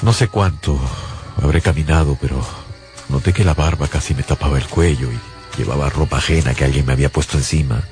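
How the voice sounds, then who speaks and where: Radio drama: haunted survivor giving grave testimony, extremely low voice, music underneath. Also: male voice, brisk. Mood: weary, haunted. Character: haunted male survivor of an invasion, husband and father. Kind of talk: radio drama first-person narration. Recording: loud music bed behind the voice.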